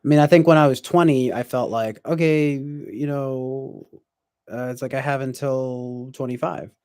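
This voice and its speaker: A man's voice: mid-range voice, steady tone, a little slow, a little mellow, clear, resonant tone, dry recording, clean studio sound. A man in his twenties chatting casually on a stream, reflective and rambling, with many fillers and restarts. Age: 20s. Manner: reads viewer comments aloud. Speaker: livestream host.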